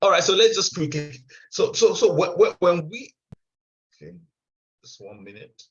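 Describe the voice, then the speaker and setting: A man's voice: high voice, animated, moderate pace, a bit bright. A man presenting an online investment seminar, often stumbling and repeating words and checking whether listeners can hear him. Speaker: webinar presenter. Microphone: video call. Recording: dull lo-fi video call audio.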